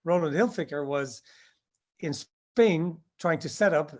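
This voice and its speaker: A man's voice: a little high, a little slow. Older man reminiscing with many fillers. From Indonesia. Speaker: guest, skydiving instructor. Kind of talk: interview answers.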